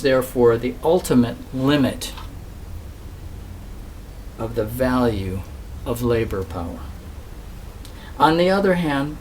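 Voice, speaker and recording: Slow-reading man, distant and noisy recording. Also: medium pitch. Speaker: reader of a historical political speech. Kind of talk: reading aloud a formal speech, oratorical. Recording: distant mic, clear steady background hiss.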